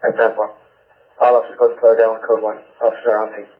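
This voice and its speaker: A man's voice: on the low side, completely flat delivery, quick pace. A male police dispatcher giving terse calls over a police radio. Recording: tinny, phone-like police scanner radio.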